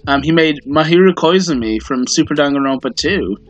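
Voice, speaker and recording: A man's voice: fairly high pitch, expressive, fairly quick, dull audio, soft background music. A man hosting a casual podcast, rambling, swearing offhand and tossing in goofy asides. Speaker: podcast host.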